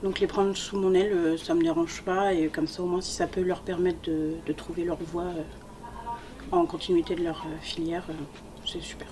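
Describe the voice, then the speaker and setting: A woman's voice: lowish voice, normal speed, noisy background. A woman in her mid-twenties, a returning student, speaking casually with many fillers. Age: 20s. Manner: steady, filler-heavy. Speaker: student ambassador. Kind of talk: casual testimonial.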